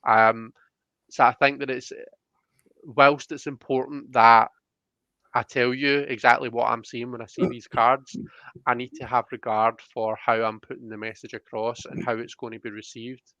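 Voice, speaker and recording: A man's voice: medium pitch, flat delivery, normal speed, pushed and bright, no echo, muffled recording. A man giving a conversational tarot reading, explaining each point in a reflective, searching way. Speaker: tarot reader.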